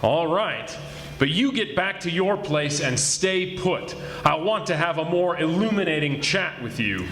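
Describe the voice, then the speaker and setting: A man's voice: a little high, animated, unhurried, a bit bright. A man playing a sardonic, commanding police detective in a 1940s-style radio drama, theatrical and teasing. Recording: echoey live stage performance.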